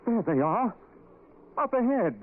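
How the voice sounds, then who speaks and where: A man's voice: very high voice, sing-song, measured pace, heavily acted. An actor playing a frightened, superstitious townsman in an old radio horror drama, hesitant, stammering and pleading. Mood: alarmed, fearful. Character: frightened, superstitious townsman reluctantly following a doctor. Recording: tinny, hissy vintage radio recording.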